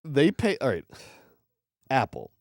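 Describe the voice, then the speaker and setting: A man's voice: mid-range voice, huge pitch swings, slow pace, gravelly, no echo, clean studio sound. A man co-hosting a movie podcast, chatting casually in a blunt, opinionated way with plenty of swearing. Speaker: podcast co-host reviewing films.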